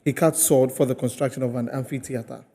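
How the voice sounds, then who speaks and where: A Ghanaian man hosting a conversational TV interview, building his points piece by piece in a halting way. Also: medium pitch, fairly even intonation, vocal fry, dark tone, husky. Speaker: TV host.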